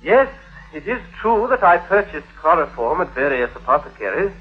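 A dull vintage radio recording of a prim, pedantic accused gentleman. His voice is husky and a bit high for a man, with pompous, precise, formal phrasing in old radio drama style. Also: very animated, moderate pace, soft background music. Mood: earnest, prim. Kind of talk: 1950s radio acting.

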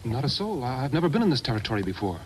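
A man playing a polite, earnest, idealistic young mining engineer in a 1950s radio western, speaking a little haltingly. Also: medium pitch, big pitch swings, a little mellow, lightly acted. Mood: shaken, puzzled. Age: young adult. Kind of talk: 1950s radio drama acting. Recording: slightly noisy 1950s radio recording.

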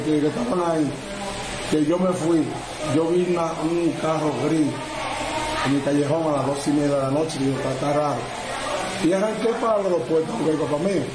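A man in his thirties with a Dominican Spanish accent, lying injured in a hospital bed and telling a reporter what happened, repeating words and restarting often. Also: fairly high pitch. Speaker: interviewee. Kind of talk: rambling account to a reporter. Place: hospital room, indoors. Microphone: phone held close to the face. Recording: roomy with loud background noise.

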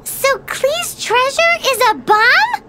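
Very high squeaky little game girl. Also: big pitch swings, takes it slowly, exaggerated voice. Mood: amazed, wary. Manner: squeaky, cutesy, exclamatory. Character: childlike excitable little girl anime game heroines. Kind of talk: video game voice acting. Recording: loud game music behind.

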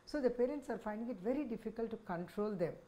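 A woman in her sixties with an Indian accent, speaking as a senior psychiatrist in a measured, explanatory talk to camera. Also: fairly high pitch, lively intonation, mellow, a little hiss or hum. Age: 60s. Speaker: senior child psychiatrist, head of department. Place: small office, indoors. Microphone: lapel microphone.

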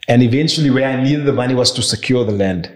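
A South African man in his forties, guest on an interview podcast, telling stories in an animated, chatty way into a boom mic. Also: fast. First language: not Afrikaans. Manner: animated, laughing storyteller. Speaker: guest, property investor. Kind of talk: casual interview storytelling. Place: furnished studio room with bookshelves. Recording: roomy studio echo.

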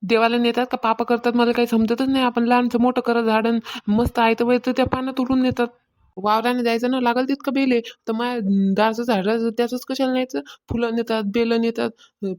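High-voiced, grumbling village housewife played by a woman. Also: a little mellow, close to an everyday voice. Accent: rural Maharashtrian. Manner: complaining, repetitive, colloquial. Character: aggrieved village housewife fretting over her worship. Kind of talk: amateur cartoon comedy dialogue.

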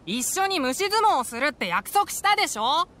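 A woman's voice: high-pitched, big pitch swings, normal speed, a bit bright. A woman voicing an eager, innocent little boy in an anime game, with childish, pleading talk. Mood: pleading, puzzled.

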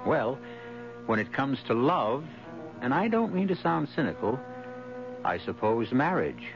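A man with a mid-pitched, expressive voice introduces a radio mystery. He is formal and wry, with faint music and a muffled vintage broadcast sound. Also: a little slow. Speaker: host. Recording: band-limited 1970s radio recording.

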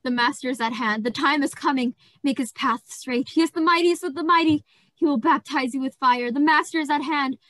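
A woman's voice: high-pitched, brisk, fairly bright tone, no room sound. A woman acting a deranged male asylum patient in a radio play, pleading and gleeful, repeating words obsessively. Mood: menacing fervor.

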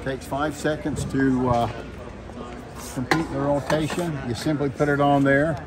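A man's voice: a little high, flat delivery, measured pace. A man in his sixties giving a plain product demonstration as an exhibitor. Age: 60s. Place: large indoor expo hall booth. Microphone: handheld camera at arm's length or further. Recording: very noisy trade show floor.

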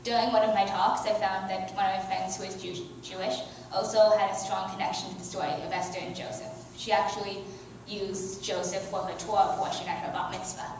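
A teenage girl reading a prepared youth sermon at a church lectern, earnest, with soft laughs and small restarts. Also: medium pitch, level tone, brisk, a little hiss or hum. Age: teens. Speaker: youth speaker. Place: church sanctuary. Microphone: headset mic, filmed by far camera. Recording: very echoey church from far off.

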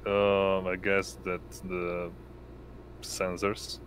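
Man, deep pitch, halting game-master narration, unhurried, noisy background hum. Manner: halting, pausing mid-sentence. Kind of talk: improvised tabletop game narration. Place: indoors, online voice call. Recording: noisy home mic, steady hum.

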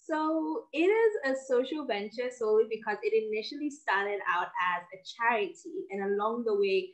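A woman's voice: on the high side, expressive, moderate pace, poor audio. Confident young woman from Kenya on a video call. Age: 20s. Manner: confident, articulate, laughs easily. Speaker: guest. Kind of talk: casual interview over video call. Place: home office with bookshelves. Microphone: laptop webcam on video call.

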